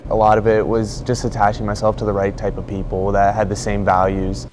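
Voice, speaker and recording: Teen guy being interviewed, low voice, casual, noisy behind him. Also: completely flat delivery, brisk. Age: late teens. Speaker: high school student interviewee. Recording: clear background noise.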